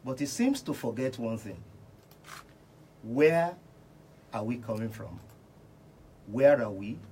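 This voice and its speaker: A man's voice: medium pitch, lively intonation, slow, mellow, slight background noise. A man with a Nigerian accent debates politics as a radio guest, oratorical and insistent, often repeating phrases. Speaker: radio panel guest, reverend. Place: radio station.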